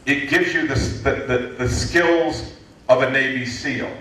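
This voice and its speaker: A man in his fifties from the United States, answering an audience question at a lecture in a lively, joking way with many restarts. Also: medium pitch, measured pace. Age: 50s. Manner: animated, joking, frequent restarts. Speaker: guest speaker, entrepreneurship lecturer. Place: lecture hall. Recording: echoey hall from far off.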